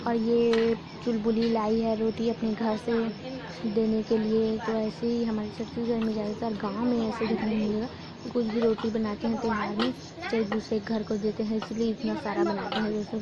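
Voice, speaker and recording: A young woman from Chhattisgarh, hoarse from a sore throat, chatting casually to her viewers in a home vlog. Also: a little high, dull audio. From Chhattisgarh, India. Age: young adult. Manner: chatty, keeps addressing viewers as friends. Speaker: vlogger. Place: village home during a festival.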